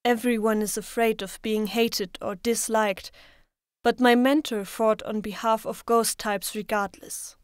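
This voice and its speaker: Woman acting a solemn, high-voiced young female trainer. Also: no room sound, studio quality, close to an everyday voice. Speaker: voice actor in a manga dub. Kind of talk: dramatic manga dub acting.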